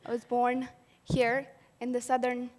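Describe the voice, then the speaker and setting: A woman's voice: fairly high pitch, completely flat delivery, unhurried, darkish tone. A woman from Pakistan giving an earnest personal storytelling talk at an event, posing rhetorical questions to the audience. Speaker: event speaker. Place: event hall. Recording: long hall reverb.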